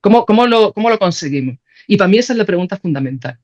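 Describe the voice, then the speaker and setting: A woman with a Castilian accent talks quickly in a casual, animated way. She explains her ideas colloquially, checking that the listener follows. The recording sounds slightly muffled. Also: mid-range voice. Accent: Spain. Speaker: podcast guest, sound artist.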